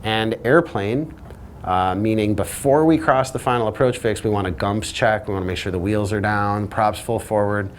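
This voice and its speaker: A man's voice: on the low side, moderate pace, slight background noise. A man speaking as a flight instructor, explaining procedures in a teaching style. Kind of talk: explanatory lesson talk.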